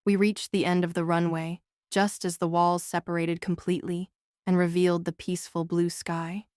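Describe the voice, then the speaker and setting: Smooth, clear woman audiobook narrator. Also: on the low side, level tone, moderate pace, darkish tone, no room sound, clean studio sound. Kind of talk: scripted first-person novel narration.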